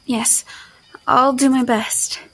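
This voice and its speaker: An actress playing an apprehensive but resolute heroine, narrating an audio drama in the first person in a measured way. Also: female voice, high-pitched, steady tone, low-quality recording. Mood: hesitant, uneasy. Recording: music under the voice.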